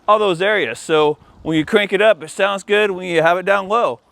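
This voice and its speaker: A man's voice: normal speed, creaky, a bit bright. A man hosting a casual hands-on walkthrough outdoors, explaining things informally as he goes. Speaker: host of an installer's video channel. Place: outdoors in a yard. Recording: outdoor noise, ambient rumble.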